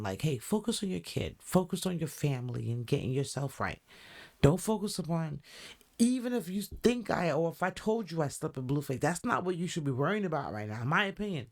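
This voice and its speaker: Deep-voiced woman podcast host. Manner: chatty, hedging with "allegedly" and "in my opinion". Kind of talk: casual reaction commentary.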